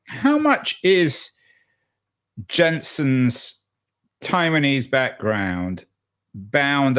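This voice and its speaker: A man hosting a podcast, interviewing a guest and framing long questions with repeated words and pauses. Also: on the low side, a bit bright. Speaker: podcast host.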